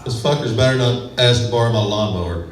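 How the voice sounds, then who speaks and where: A man's voice: fairly low pitch, fast, heavy background noise. A man from Texas with a West Texas accent, doing a self-deprecating, laid-back stand-up comedy set on a club stage. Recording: echoey club sound from far off with a crowd.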